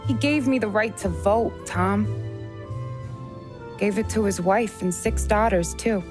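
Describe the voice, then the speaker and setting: A woman's voice: mid-range voice, a little slow, natural voice. Plainspoken American woman played by an actress, music behind. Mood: teasing, earnest. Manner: frank, colloquial, chiding. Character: plainspoken, caring, sharp-tongued gangster's confidante woman. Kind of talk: video game cutscene acting.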